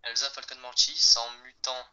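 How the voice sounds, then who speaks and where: A man's voice: medium pitch, level tone, normal speed, dull audio, a loud crowd. A man giving short, hesitant replies in a casual gaming chat. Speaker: guest player.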